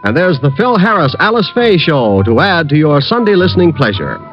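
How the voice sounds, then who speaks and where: A man's voice: on the high side, big pitch swings, a little fast. A man announcing on a 1940s radio program with polished, formal delivery. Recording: dull old radio broadcast with music.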